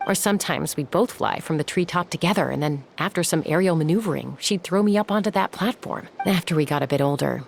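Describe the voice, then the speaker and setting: Low, gravelly, self-assured young heroine. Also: female voice, fast talker, hardly any pauses, slight background noise. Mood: fond, relaxed. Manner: casual, composed, conversational. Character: self-assured young woman raised among wild birds. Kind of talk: video game voice acting.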